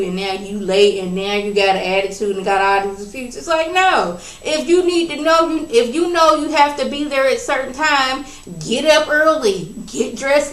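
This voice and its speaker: A woman casually recapping a reality TV episode in a chatty, animated way, acting out what people said. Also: a little high. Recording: roomy, distant from the mic.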